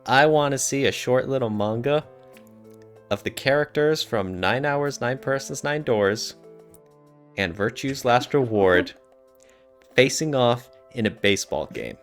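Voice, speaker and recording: A man's voice: medium pitch, lively intonation, a little slow. A man hosting a podcast in a casual, playful, joking way. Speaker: podcast host. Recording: music behind the talk. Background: background music.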